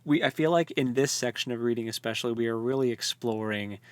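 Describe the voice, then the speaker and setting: A man's voice: medium pitch, fast talker, smooth, no room sound. A man co-hosting an informal book discussion, giving wry, conversational commentary. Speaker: co-host of a book discussion show. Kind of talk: casual chat, book discussion.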